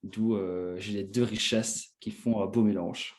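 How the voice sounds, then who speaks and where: A man's voice: on the low side, fairly even intonation, a little slow, darkish tone. A man in his twenties from Belgium, casually telling his own story as an interview guest. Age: 20s.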